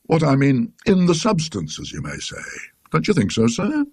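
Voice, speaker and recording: A man's voice: mid-range voice, huge pitch swings, normal speed, a little mellow, husky, no room sound, studio quality, pitched above the performer's own voice, full character voice. A man voicing a talkative, indignant working-class British tram conductor in a ghost story, rambling and emphatic in his colloquial speech. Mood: puzzled, earnest.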